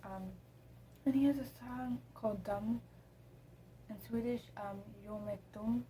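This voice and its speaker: Teenage girl, casual vlog chat, rambling, mellow tone, faint background hiss. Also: a little high, steady tone, measured pace, poor audio. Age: teens. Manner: rambling and chatty, with many ums. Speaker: vlogger. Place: room at home.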